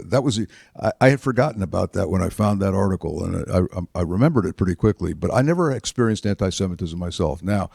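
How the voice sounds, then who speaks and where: A man in his seventies, a retired politician and author, tells stories about his life to an audience in a chapel, halting with fillers and restarts. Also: low voice, creaky, mellow, no echo, studio quality. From United States. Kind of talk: relaxed storytelling talk.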